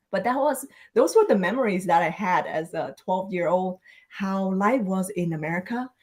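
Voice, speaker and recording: A woman from Vietnam speaks as a podcast guest over a video call. She tells her family story in a reflective way and laughs easily, her pitch sitting in the middle range. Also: animated, measured pace, darkish tone. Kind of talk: casual storytelling interview.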